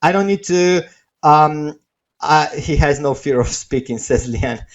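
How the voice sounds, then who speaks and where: A man who is not a native English speaker, hosting a live sales webinar with a persuasive, off-the-cuff pitch and frequent checking tags. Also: unhurried. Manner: persuasive, repeats checking tags like okay and right. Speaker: webinar host pitching software. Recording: dull, lo-fi webinar audio.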